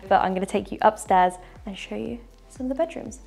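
A woman's voice: medium pitch, a little fast, gravelly, darkish tone. Upbeat woman touring a house. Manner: enthusiastic, walking and gesturing while talking. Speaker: property tour presenter. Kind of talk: upbeat house tour narration. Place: large furnished house indoors.

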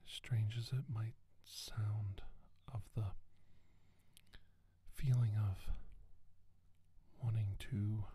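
A man playing a haunted doctor giving testimony of his abduction in a halting, deliberate ASMR whisper. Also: fairly low pitch, warm tone, slightly rough, full character voice. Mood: haunted, uneasy. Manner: slow, halting, deliberate, with pauses and repeated words. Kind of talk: whispered ASMR roleplay monologue.

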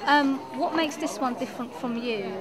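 A woman's voice: high voice, moderate pace. A woman interviewing contestants for a documentary, friendly and asking short questions. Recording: loud crowd and music behind.

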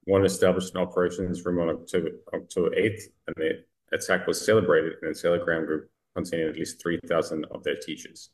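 A man co-hosting a podcast, reading news items out loud in a flat, matter-of-fact way over a video call. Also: sits low, a little fast, few pauses, rough recording. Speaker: podcast co-host.

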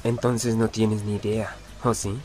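A man's voice: on the low side, flat delivery, measured pace, darkish tone, natural voice. A man with a Latin American Spanish accent playing a guarded young murder suspect in an amateur film dub, defensive and challenging. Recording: music under the dialogue. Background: background music.

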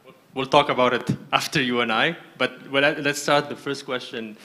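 A man's voice: medium pitch, fairly even intonation, normal speed, pushed and bright. A man in his thirties from Montreal moderates a panel informally, repeating words as he goes. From grew up in Montreal, Canada. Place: indoor conference stage. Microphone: handheld microphone.

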